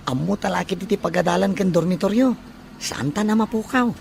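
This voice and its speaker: Performer as mid-pitched young Ilocano student guy. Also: moderate pace, creaky, slight background noise. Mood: easygoing. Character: young male provincial student, practical buddy. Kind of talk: Ilocano radio drama acting. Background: faint music.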